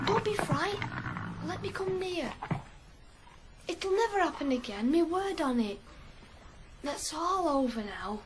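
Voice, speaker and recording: A man's voice: extremely high pitch, big pitch swings, measured pace, long pauses, noisy background, full character voice. A teenage male actor playing a headstrong, lonely farm lad in a radio drama, intense and stubborn. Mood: tender, remorseful. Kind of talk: 1980s radio drama acting.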